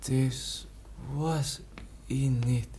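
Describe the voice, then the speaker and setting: An actor playing an exhausted, bewildered teenage foundling in a radio play, speaking in halting fragments. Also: male voice, medium pitch, steady tone, dark tone. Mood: dazed, weary. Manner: halting, fragmented, repeating the same few words. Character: exhausted, bewildered foundling youth of seventeen, barely able to speak. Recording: noisy old radio play recording.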